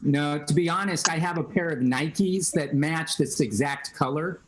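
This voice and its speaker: A male guitarist, a guest on a podcast, answering in a relaxed, matter-of-fact way. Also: on the high side, moderate pace, a little hiss or hum, low-quality recording. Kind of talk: casual interview chat.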